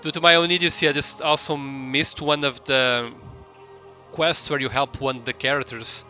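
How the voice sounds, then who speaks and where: Male game streamer, bright forward voice, casual rambling commentary, hesitant word-searching, music in the background. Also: mid-range voice, fairly even intonation, normal speed. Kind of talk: casual live gameplay commentary.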